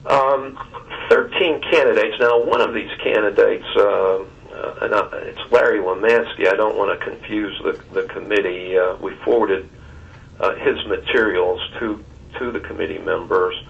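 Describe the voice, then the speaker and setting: Very deep-voiced man on a distant phone line. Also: vocal fry. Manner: halting, deliberate, measured, with long hesitations. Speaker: search consultant reporting to a committee. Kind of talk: formal spoken briefing on a conference call. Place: telephone conference call.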